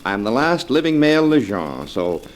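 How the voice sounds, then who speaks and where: An actor in an old-time American radio drama playing a sardonic heir returning to his family mansion, theatrical and smoothly mocking. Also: medium pitch, big pitch swings, moderate pace, natural voice. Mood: smug, breezy. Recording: noisy vintage radio recording.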